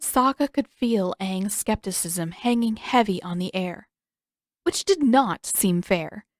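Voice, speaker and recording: A woman's voice: mid-range voice, lively intonation, takes it slowly, soft background music. A woman reading scripted fiction aloud as an audiobook narrator.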